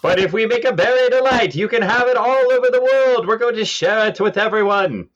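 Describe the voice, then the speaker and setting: A man playing a bombastic, excitable 1940s radio announcer selling cereal with exaggerated showmanship. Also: brisk, dull audio, natural voice. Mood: excitable, insistent. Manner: exaggerated, booming showman delivery.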